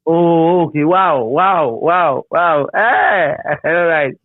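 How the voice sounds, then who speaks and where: A middle-aged Ghanaian man reminiscing as an interview guest in a halting, repetitive, animated storytelling manner. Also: fairly high pitch, no room sound. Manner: halting, repeats words and phrases, animated storyteller. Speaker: interview guest, radio DJ and presenter. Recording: thin phone-like sound.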